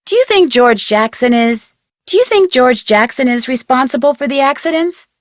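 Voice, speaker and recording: A young American woman playing an inquisitive, plucky amateur detective in an adventure game, speaking earnestly and expressively with clear enunciation. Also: high voice, lively intonation, moderate pace, dry recording, studio quality. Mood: earnest, probing. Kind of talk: scripted adventure game voice acting.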